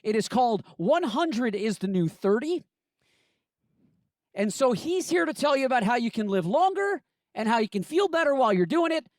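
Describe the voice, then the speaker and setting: A man working as event emcee, introducing the next speaker with energetic hype. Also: very high-pitched, moderate pace, dry recording, clean studio sound.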